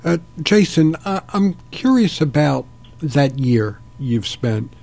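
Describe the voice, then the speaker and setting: A man's voice: on the high side, very animated, takes it slowly, gravelly, a little mellow. An older man hosting a radio talk show, asking guests questions and taking calls in a genial way. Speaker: radio host.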